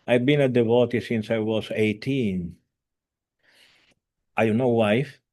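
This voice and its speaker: A man in his seventies, a spiritual teacher, giving an earnest, halting talk over a video call, with many fillers, restarts and non-native grammar. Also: mid-range voice, steady tone, darkish tone. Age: 70s.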